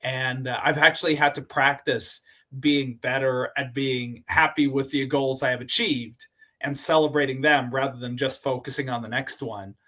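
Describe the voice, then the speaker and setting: A man from Sweden, a company co-founder, telling stories as a podcast guest in a relaxed, rambling way, with many fillers and restarted words. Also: medium pitch, moderate pace. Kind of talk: casual interview storytelling.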